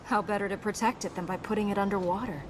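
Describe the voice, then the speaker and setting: An actress playing a serious, guarded young princess in a video game, explaining earnestly and answering with dry wit. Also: female voice, medium pitch, steady tone, fast talker, noisy background, lightly acted.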